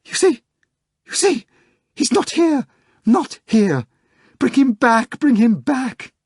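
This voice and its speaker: A woman's voice: fairly high pitch, expressive, slow pace, breathy edge, dry recording, studio quality, voice pushed up, heavily acted. A man voicing a hysterical young woman secretary, shrieking and wailing, a little nasal from crying. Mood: frantic.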